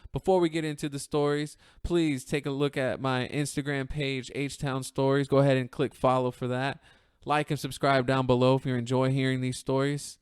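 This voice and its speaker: A man's voice: mid-range voice, level tone, moderate pace, few pauses, clean tone. A man hosting a podcast, interviewing his guest in a friendly, encouraging way. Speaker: podcast host.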